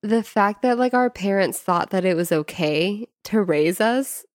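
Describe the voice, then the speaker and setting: A woman's voice: medium pitch, lively intonation, measured pace, no echo, studio quality. An American woman co-hosting a podcast in casual conversation, informal and peppering her talk with "like". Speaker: podcast co-host. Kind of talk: casual chat.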